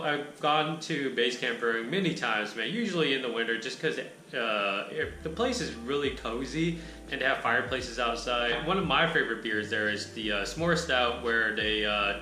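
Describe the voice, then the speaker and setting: A man reviewing a beer in a relaxed, rambling way with a raspy voice. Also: mid-range voice, reverberant. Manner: laid-back, rambling, lots of filler words. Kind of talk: casual chat.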